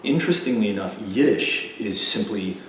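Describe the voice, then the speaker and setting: Relaxed low-voiced man in an echoey room. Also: moderate pace, a little hiss or hum. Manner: animated storyteller, acts out voices of others in anecdotes. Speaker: interview guest, stage actor and musician. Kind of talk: casual interview chat. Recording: echoey room, distant from the mic.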